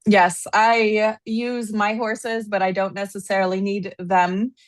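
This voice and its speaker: A woman in her thirties from Los Angeles with an American accent, chatting as an interview guest, animated and laughing often. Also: medium pitch, takes it slowly, dry recording. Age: 30s. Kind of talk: casual interview chat.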